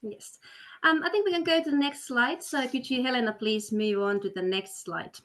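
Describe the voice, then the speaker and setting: A woman's voice: on the high side, normal speed, a bit bright. Upbeat woman hosting a webinar. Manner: upbeat, persuasive, with many fillers. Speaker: webinar host, study counselor. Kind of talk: webinar presentation. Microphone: video call.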